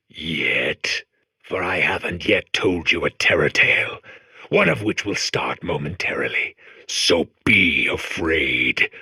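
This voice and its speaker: A man voicing a grandiose horror-storytelling machine in a video game, raspy, pompous and melodramatic. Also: low-pitched, dry recording, full character voice. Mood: spooky, urgent. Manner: melodramatic, pompous, swinging from hush to shriek. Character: theatrical horror-storytelling machine core, grandiose and self-important. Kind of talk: comedic video game horror-host acting.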